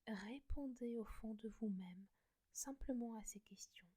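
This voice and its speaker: Female meditation guide, breathy whisper, slow and mellow. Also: a little high. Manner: soft slow whisper, gentle instructions.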